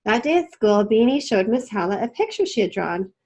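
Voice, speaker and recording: A woman reads a children's story aloud. Her voice is on the high side and very animated, rising and falling expressively through the narration. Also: fairly quick. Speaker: storybook reader.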